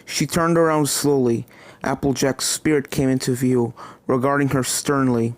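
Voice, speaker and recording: A man's voice: mid-range voice, fairly even intonation, normal speed, dry recording. A man reading a horror story aloud as a dramatic narrator.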